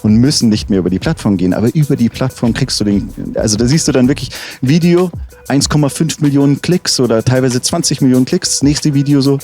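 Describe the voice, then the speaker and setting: A man's voice: mid-range voice, quick pace, warm tone, soft background music. A man as a rapper interview guest, chatting casually and enthusiastically with slang and frequent restarts. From Munich.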